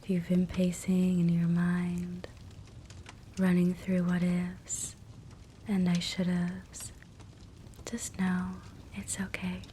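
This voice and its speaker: A woman guiding a sleep hypnosis meditation in a soft, breathy whisper, gentle and soothing. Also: fairly low pitch, slow pace, long pauses. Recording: soft steady fireplace crackle noise. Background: fireplace ambience.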